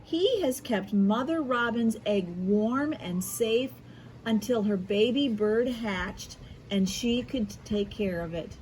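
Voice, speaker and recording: A proud, kindly storybook Easter Rabbit, voiced by a woman reading aloud. He speaks slowly and grandly, with warmth for his helpers. There is some faint noise behind the voice. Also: a little high, low-quality recording.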